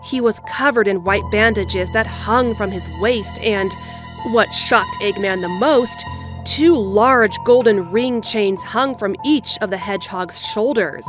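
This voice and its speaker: A woman reading scripted story narration in a measured, storybook delivery, as the narrator of an audio drama. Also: medium pitch. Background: music.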